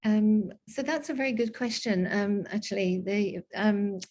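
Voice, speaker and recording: A woman's voice: medium pitch, measured pace, darkish tone. Thoughtful woman with a slight Scottish accent. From Scotland. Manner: thoughtful, with many ums and restarts. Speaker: guest speaker. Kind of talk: online panel discussion.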